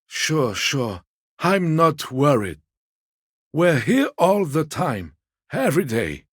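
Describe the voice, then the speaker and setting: An actor playing a fussy, timid old gourmand gentleman in a video game, a husky voice and a polite, hesitant, slightly pompous manner. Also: on the high side, very animated, takes it slowly, no room sound, studio quality, heavily acted. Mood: uneasy, placating. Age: older. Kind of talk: video game character dialogue.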